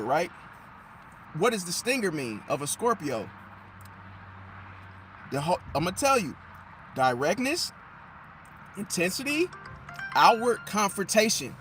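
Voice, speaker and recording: A man, slightly hoarse and losing his voice, lecturing viewers in a casual, emphatic way, repeating himself and firing off rhetorical questions. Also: sits high, sharp tone, slight background noise. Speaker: astrology commentator. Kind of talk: casual talking monologue to viewers.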